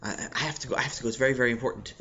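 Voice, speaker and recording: A man's voice: mid-range voice, fairly even intonation, breathy edge, highs cut off. Yossi, a man doing a flustered schoolboy. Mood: anxious. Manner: hurried, flustered, hushed. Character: nervous, anxious schoolboy Yossi.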